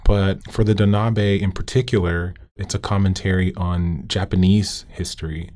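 A man hosting a podcast interview, asking questions in a polite, measured way. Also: deep voice, steady tone, a little mellow. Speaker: podcast host.

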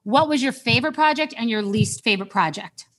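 A woman with a fairly high voice hosts an interview. She chats casually and upbeat, with quick interjections. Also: fairly bright tone, soft background music. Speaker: podcast host.

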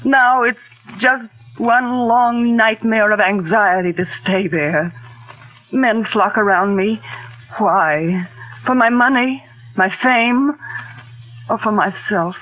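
An anguished society lady, bright radio voice. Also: on the high side, slow pace, poor audio. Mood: anguished, confiding. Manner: theatrical, intense, earnest delivery. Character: anguished, sophisticated society mother confessing to a shooting. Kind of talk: 1940s radio drama acting. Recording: old 1940s radio recording.

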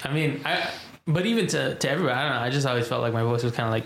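A man's voice: medium pitch, very fast, gravelly, fairly bright tone, reverberant. A young man chatting casually, often hesitating and trailing off mid-sentence. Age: young adult. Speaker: co-host. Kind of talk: casual chat. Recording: faint background noise.

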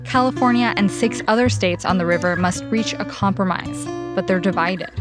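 A woman's voice: mid-range voice, moderate pace. Woman narrating a promo over music.

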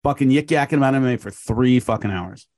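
A man's voice: medium pitch, fast, a little mellow. A man calling in as a guest on a radio show, talking bluntly and profanely over a headset. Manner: blunt, profane, matter-of-fact. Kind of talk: casual call-in chat.

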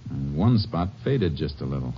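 A steady, dry-witted lawman with a very deep voice, calm and firm as he narrates and questions. The sound is muffled, like an old radio broadcast, with faint music underneath. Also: normal speed, slightly rough, heavily acted. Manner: calm, firm, dryly sardonic. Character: steady, dry-witted male Texas Ranger. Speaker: narrator and lead character. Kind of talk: 1950s radio drama acting.

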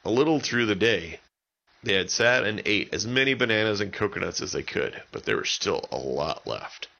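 Guy telling little kids a bedtime story, gravelly low voice, kinda muffled recording. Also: expressive, normal speed, sharp tone. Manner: simple, repetitive wording for young children. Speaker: children's story podcast narrator.

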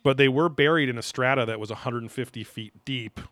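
A man's voice: mid-range voice, fairly quick, fairly bright tone, dry recording. A man in his thirties hosting a casual recap chat, rambling and swearing freely, sometimes reading quotes aloud theatrically. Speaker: podcast host.

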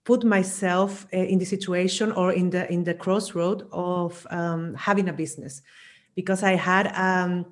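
A woman's voice: fairly low pitch, fairly even intonation, moderate pace. Woman from chile in her 40s, chatty, laughs a lot, restarts sentences. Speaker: guest psychologist and coach. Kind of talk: conversational interview.

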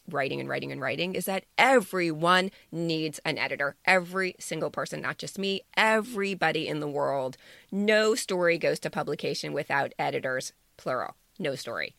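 Low, creaky woman in her fifties. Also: a bit bright, no echo. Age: 50s. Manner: emphatic, repeats words for stress. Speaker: podcast co-host and writer. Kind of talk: reflective casual talk.

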